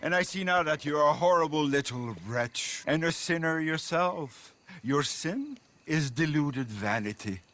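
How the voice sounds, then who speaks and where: Pompous, slippery city mayor. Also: male voice, a little high, expressive, unhurried, a bit bright. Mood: bitter, self-righteous. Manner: flowery, pompous, oily politeness. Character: effusive, corrupt city mayor, theatrical and slippery. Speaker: game voice actor. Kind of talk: dramatic video game acting.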